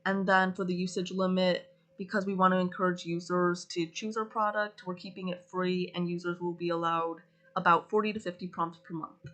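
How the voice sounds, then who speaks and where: Low-voiced woman presenting a solution. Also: little pitch movement, normal speed, fairly bright tone. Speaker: student presenter. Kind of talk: class project presentation.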